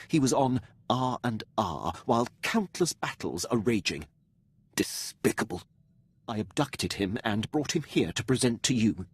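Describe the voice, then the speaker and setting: An actor playing a dutiful, precise Imperial officer in a video game, speaking formally and deferentially. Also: male voice, medium pitch, slightly rough, full character voice. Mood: grim, resolute.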